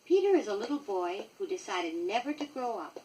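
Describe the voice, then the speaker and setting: An American woman reading a children's story from a script, expressive and clearly paced for young listeners, on a 1960s vinyl record. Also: medium pitch, brisk, distant mic, a little hiss or hum, rough recording. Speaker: storybook reader.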